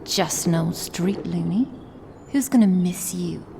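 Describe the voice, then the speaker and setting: A woman's voice: lowish voice, unhurried. A woman voicing a cocky, foul-mouthed young street punk girl in a comic dub, slangy and insolent, with a London accent. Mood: threatening. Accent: British, London. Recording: clearly noisy background.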